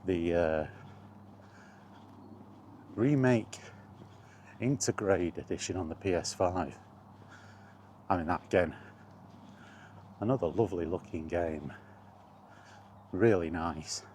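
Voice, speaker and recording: A middle-aged man in an unhurried, halting, reflective solo chat as a gaming vlogger. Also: sits low, a little mellow, slight background noise. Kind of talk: rambling casual chat about video games.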